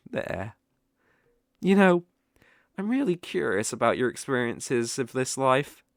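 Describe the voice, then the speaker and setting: A man's voice: mid-range voice, very animated, dry recording, clean studio sound. A British man playing a sly, possessive, lovestruck fox-spirit mage in an ASMR audio roleplay, coaxing and easily flustered. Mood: possessive, smug. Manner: coaxing, slow, with eager flustered outbursts.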